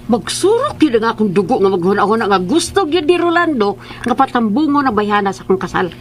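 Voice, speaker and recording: A woman's voice: a little high, big pitch swings, quick pace, slight background noise. A woman playing a scheming, controlling bride-to-be who gives insinuating orders in a radio drama. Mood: cold, bossy.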